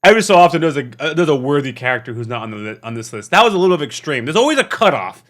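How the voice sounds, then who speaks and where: A man hosting a casual, unscripted reaction video, talking in an animated, slangy way and often repeating himself. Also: a little high, very fast. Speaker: YouTube reaction host.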